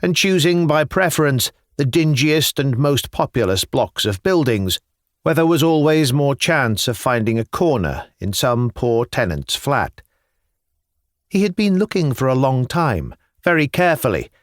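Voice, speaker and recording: A man narrating literary fiction as an audiobook, reading in a measured, expressive storytelling style with careful phrasing. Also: mid-range voice, dry recording, studio quality.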